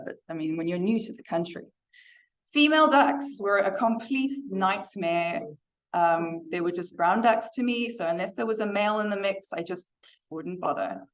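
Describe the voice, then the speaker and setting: A woman's voice: fairly low pitch, rough recording. A woman from Africa, giving a chatty, self-deprecating club presentation in a meeting room. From South Africa. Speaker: guest presenter. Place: meeting room with a hybrid video call.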